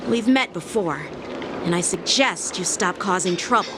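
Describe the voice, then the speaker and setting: A youthful boy officer, played by a woman, speaks with formal, measured courtesy and quiet firmness. It is a game cutscene voice with clear noise behind it. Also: lowish voice, animated, lightly acted. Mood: politely firm. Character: polite, composed young male guard lieutenant. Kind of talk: video game cutscene acting.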